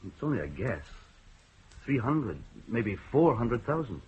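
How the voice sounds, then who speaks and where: An actor playing a worried, indebted bank clerk in a radio drama, talking anxiously and hesitantly. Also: male voice, medium pitch, very animated, measured pace, slight background noise. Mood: explaining. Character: nervous bank clerk in debt, worried man. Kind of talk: 1970s radio drama acting. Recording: muffled old radio broadcast.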